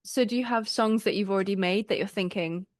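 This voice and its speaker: A woman's voice: medium pitch, a little fast. A woman from Wales with an English accent, interviewing a guest in a chatty, friendly way and laughing often. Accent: English, often taken for London. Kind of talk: casual interview.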